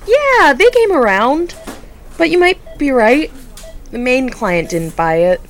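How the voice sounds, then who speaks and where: A woman's voice: high voice, very animated, slow pace, a little hiss or hum, exaggerated voice. An actress playing an eager, friendly, newly promoted young woman coordinator in an audio drama. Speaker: foundation coordinator.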